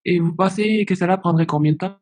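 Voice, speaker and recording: A man asking questions on a professional call, polite and halting as a case agent. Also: high voice, a little mellow. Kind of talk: professional phone conversation, questioning.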